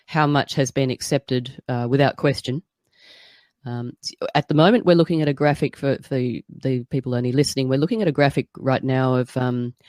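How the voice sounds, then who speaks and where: A man hosts a podcast in a chatty, animated way, with plenty of fillers between his points. His voice sits in a middle pitch and sounds dry, with little room around it. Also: no echo. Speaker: podcast host. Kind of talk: casual chat.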